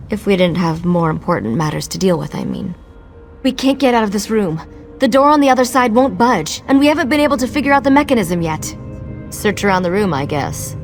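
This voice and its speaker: A woman plays a bookish, curious fantasy mage in a video game. She speaks at an ordinary middle pitch in a wry, musing way, with faint music behind her. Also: close to an everyday voice. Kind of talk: scripted fantasy game dialogue.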